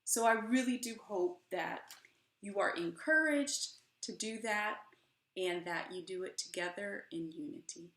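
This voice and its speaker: A middle-aged American woman, a children's author, explaining casually to camera at home. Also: fairly low pitch. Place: small room indoors at home. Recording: roomy indoor echo.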